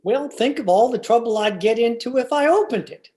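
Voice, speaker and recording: A woman's voice: on the high side, animated, fairly quick, warm tone, lightly acted. A woman playing a teasing, stubborn husband in old-time radio comedy, with a theatrical, wry and exasperated delivery. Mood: skeptical, teasing. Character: George, teasing, stubborn husband of a 1940s housewife. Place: remote video-call production.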